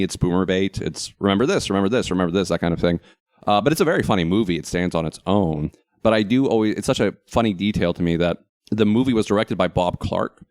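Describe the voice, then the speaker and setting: A man co-hosting a casual, humorous chat, telling stories in a wry, animated and opinionated way. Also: fairly low pitch, no room sound, studio quality. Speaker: talk show co-host.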